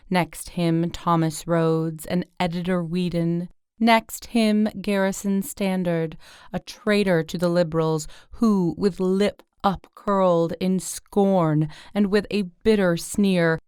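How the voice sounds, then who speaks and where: A woman giving a measured reading of narrative verse. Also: on the low side, darkish tone, clear, resonant tone, no room sound, clean studio sound. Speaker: poetry reader in a group recording.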